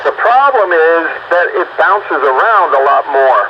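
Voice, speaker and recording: Higher-voiced older man on noisy radio. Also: animated, a little slow. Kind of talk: casual group radio chat. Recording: noisy, muffled two-way radio audio.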